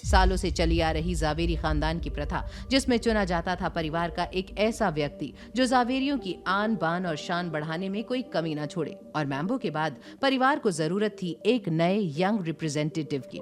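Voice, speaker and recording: Female narrator, lowish pitch, dramatic scripted storytelling, faint music behind. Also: lively intonation, normal speed, hardly any pauses. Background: background music.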